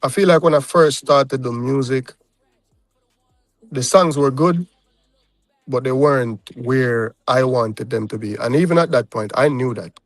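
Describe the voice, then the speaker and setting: A man's voice: mid-range voice, measured pace, no room sound, faint music behind, poor audio. A young adult man from Jamaica with a Jamaican accent, talking casually as an interview guest and often checking in with "you understand?". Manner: laid-back, peppers talk with "you understand?". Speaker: recording artist guest.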